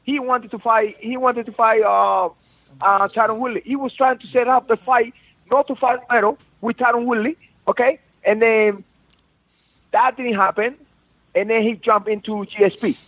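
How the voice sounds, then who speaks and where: A man's voice: extremely high pitch, fairly even intonation, normal speed, no room sound. Cuban man ranting over a phone line. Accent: Cuban Spanish. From Cuba. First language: Spanish. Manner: insistent, repetitive, broken English. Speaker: fighter calling in. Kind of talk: phone interview. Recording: dry, tinny phone line.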